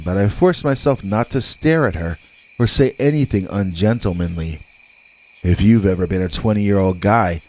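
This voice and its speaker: A man reading scripted scary stories as a narrator, with dramatic, deliberate delivery and drawn-out words. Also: fairly low pitch, a little mellow, low-quality recording.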